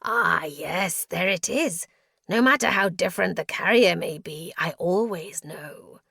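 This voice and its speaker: A woman voicing a deranged, delighted male prisoner in his forties in an audiobook story, breathy and erratic in his exclamations. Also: on the low side, lively intonation, measured pace, a bit bright, no room sound, clean studio sound, full character voice. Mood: sly delight.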